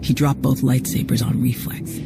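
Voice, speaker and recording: A woman's voice: rumbling low, level tone, moderate pace, vocal fry. A woman playing a tough, cocky female security chief in a game cutscene, blunt and wry in manner. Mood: somber, bitter. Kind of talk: video game cutscene acting. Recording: music under the voice.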